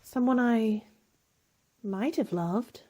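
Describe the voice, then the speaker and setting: A woman's voice: fairly high pitch, slow pace, warm tone. A woman playing a proud, guarded young woman in a period romance radio drama, with formal, cool, cutting delivery. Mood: guarded, wounded. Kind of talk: scripted radio drama acting.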